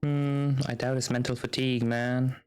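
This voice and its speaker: A man's voice: mid-range voice, level tone, normal speed, a little mellow, clean tone. A man livestreaming a video game, chatting casually in an easygoing, rambling way over game music. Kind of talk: casual livestream chat, reading game text aloud.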